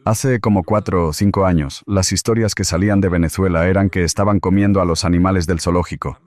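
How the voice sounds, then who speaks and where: Male guest commentator, very low voice, dry close sound, emphatic, repeats himself. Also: fairly even intonation, fast, a little mellow, no echo. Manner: emphatic, repeats key phrases.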